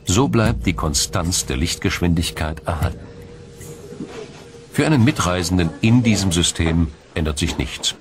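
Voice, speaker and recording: A man reading a scripted science documentary in a measured, formal, explanatory manner. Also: low voice, expressive. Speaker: documentary narrator.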